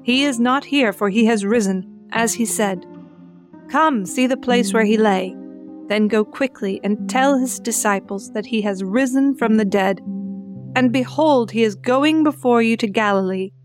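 A woman's voice: a little high, measured pace. Woman reading scripture over guitar music. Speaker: narrator.

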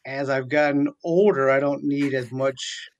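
A man's voice: mid-range voice, steady tone, a little slow. A man in his forties from southeastern Virginia, a chaplain, telling his story conversationally and earnestly as an interview guest. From southeastern Virginia, USA. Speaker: military chaplain, radio guest.

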